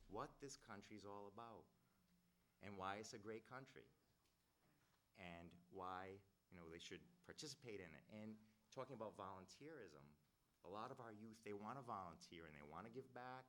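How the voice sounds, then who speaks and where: A man's voice: lowish voice, a bit bright. A man from Rhode Island giving rambling, earnest roundtable remarks as a youth program director, often restarting his sentences. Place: government roundtable room.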